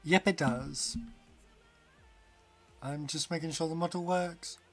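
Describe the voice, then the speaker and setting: A man's voice: on the high side, slow pace, a bit bright, soft background music. A man chatting casually on a game stream, rambling, laughing often and reading chat aloud. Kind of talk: casual live-stream chat while gaming.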